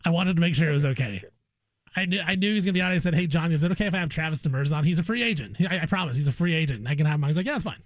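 A man's voice: on the high side, animated, very fast, dry recording. A man guest-hosting a sports talk radio show, interviewing in an informal, chummy way.

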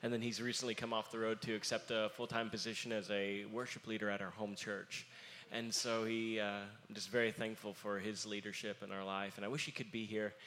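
A young man with a somewhat low voice talks earnestly on a panel. He pauses often and fills gaps with ums. His talk is casual but heartfelt. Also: flat delivery, fairly bright tone. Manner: earnest, halting with many fillers. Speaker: musician in family band.

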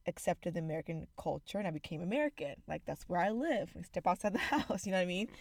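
Young woman from zimbabwe, low gravelly voice, candid podcast chat, dry close sound. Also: fast, dry recording. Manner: candid, animated, frequent fillers. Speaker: podcast co-host. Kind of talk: casual chat.

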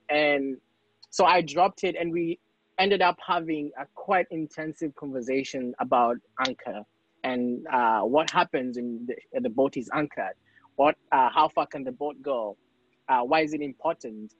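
A man from Kenya hosts the podcast in a thoughtful, questioning way. His voice sits a bit high for a man and is recorded close and dry, with a dull, muffled sound. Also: fairly bright tone, no room sound. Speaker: podcast host. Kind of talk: casual podcast hosting.

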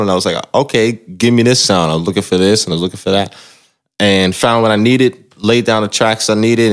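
A man speaking as a musician guest in an interview, telling stories casually and reflectively, often repeating words for emphasis. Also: fairly low pitch. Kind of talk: casual conversational storytelling about their own career. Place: music recording studio.

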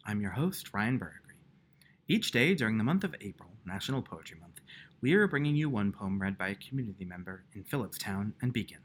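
A man's voice: fairly low pitch, sing-song, brisk, husky. A man hosting a podcast, reading a scripted introduction and credits. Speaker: podcast host. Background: music.

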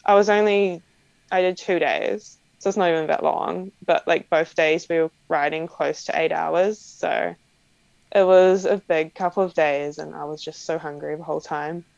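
A young woman with a strong New Zealand accent talks casually in an interview, her speech peppered with "like" and small laughs. Her voice sits low with a gravelly, fry-tinged edge. The sound is dry and close, a bit dull and lo-fi. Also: steady tone, normal speed, darkish tone, no room sound. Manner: chatty, frequent "like" fillers and light laughs. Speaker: professional cyclist guest.

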